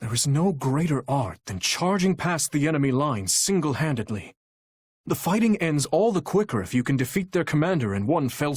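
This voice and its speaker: A young warrior king for a video game, gentle and earnest yet burdened. His husky voice moves expressively through courtly, measured phrases. The sound is dry and close, without room. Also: mid-range voice, no echo. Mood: resolute. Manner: formal, measured, courtly diction. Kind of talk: video game character voice lines.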